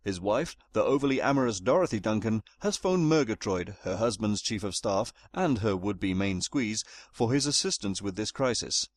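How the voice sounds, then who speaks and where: A man's voice: on the low side, animated, normal speed, hardly any pauses, no room sound. A man playing a very proper British narrator in a comedy audio drama, dryly pompous and wordy. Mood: storytelling.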